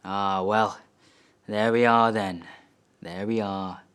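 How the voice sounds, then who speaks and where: A man's voice: on the low side, fairly even intonation, takes it slowly, a bit bright, smooth. A male actor puts on a proud older father of about sixty for a stage monologue. He is scornful and sneering. His reproaches come out drawn and emphatic, each phrase pressed home. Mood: sardonic.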